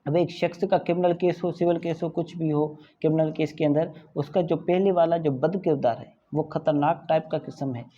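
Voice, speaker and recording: A man's voice: fairly high pitch, fairly even intonation, warm tone, breathy edge. A man teaching as a law lecturer, explaining step by step, checking understanding often and mixing in English legal terms. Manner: repeatedly checks understanding with short tag phrases, reads English clauses slowly. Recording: dull, muffled lo-fi audio.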